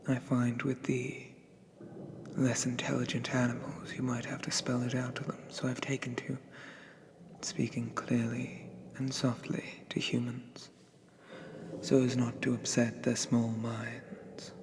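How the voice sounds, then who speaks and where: A man performs a haughty, sadistic male dark elf for an ASMR roleplay. The delivery is slow and breathy, often dropping into a whisper, with an even, controlled pitch and soft menace. Clear background noise sits behind the voice. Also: lightly acted. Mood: condescending.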